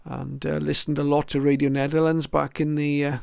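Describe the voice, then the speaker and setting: A man's voice: on the high side, level tone, fairly quick, creaky, darkish tone, clear, resonant tone, no room sound. A middle-aged or older man giving a casual show-and-tell narration as a hobbyist, hesitant and reflective as he goes through items one by one. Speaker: shortwave radio hobbyist presenting his collection.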